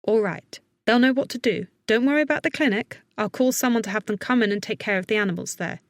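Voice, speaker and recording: A woman's voice: on the high side, quick pace, dry recording, natural voice. Woman acting a firm, capable woman under pressure. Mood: firm, reassuring. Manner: urgent, firm, reassuring. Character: worried, capable woman vet giving brisk, firm instructions. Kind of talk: audiobook character acting.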